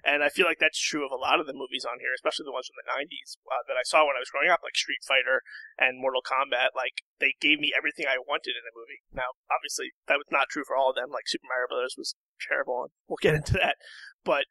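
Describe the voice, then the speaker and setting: A man talking casually as a podcast guest, in a halting, rambling way. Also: medium pitch, fairly even intonation, pushed and bright, no echo, poor audio. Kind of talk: casual discussion.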